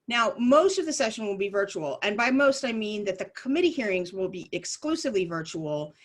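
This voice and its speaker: A woman lobbyist leading an informal online training, explaining things briskly with frequent ums and restarts, her voice worn down and a cough drop in her mouth. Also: mid-range voice, expressive.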